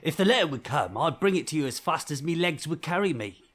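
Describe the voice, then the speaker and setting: A man's voice: on the high side, lively intonation, fast talker, fairly bright tone. An actor playing a kindly, gossipy English village postman in a vintage radio drama, with a rustic, folksy turn of speech. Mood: friendly. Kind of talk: 1940s radio drama acting.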